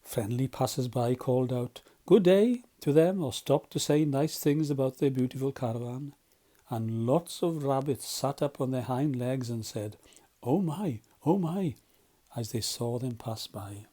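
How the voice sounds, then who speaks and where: A man's voice: mid-range voice, steady tone, moderate pace, warm tone. A man narrating a children's storybook as a volunteer storyteller.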